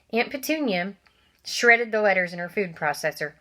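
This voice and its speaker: Woman reading a children's book aloud. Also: fairly high pitch. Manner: asides to young listeners; animated, voices the characters. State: coughing from allergies. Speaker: reader.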